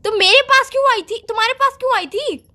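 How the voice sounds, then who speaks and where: A woman's voice: very high-pitched, quick pace, bright, forceful tone. A young woman arguing casually on a phone call, questioning insistently and pleading with her partner.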